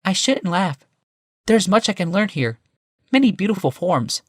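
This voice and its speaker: A man's voice: sits high, sing-song, moderate pace, dry recording, clean studio sound, voice pushed up. A man voicing an amused, refined woman architect in a fantasy audiobook. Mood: amused, wistful.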